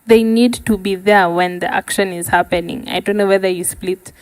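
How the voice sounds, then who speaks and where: A woman's voice: mid-range voice, steady tone, moderate pace, dry recording, low-quality recording. A woman chatting casually as a podcast guest and explaining her views. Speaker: podcast guest, sound engineer.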